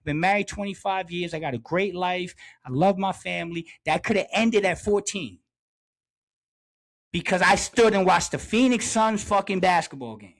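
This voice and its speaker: Male sports podcast host, forties, high voice, slangy and emphatic, leans on repetition. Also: normal speed, fairly bright tone. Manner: emphatic, repeats phrases for emphasis. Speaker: podcast host, basketball coach.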